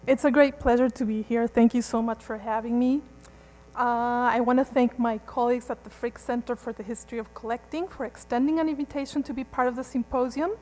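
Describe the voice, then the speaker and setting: A woman museum curator giving a formal academic lecture at a symposium, reading a prepared paper in a measured way. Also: a little high, darkish tone. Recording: long hall reverb.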